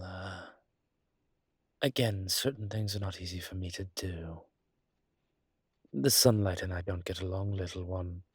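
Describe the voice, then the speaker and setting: A man playing a courteous, wry vampire with formal, hesitant phrasing and a husky voice in an audio roleplay. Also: low-pitched, dry recording, studio quality, heavily acted. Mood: sheepish, persuasive. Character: courteous, wry adult male vampire wary of daylight. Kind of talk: one-sided audio roleplay acting.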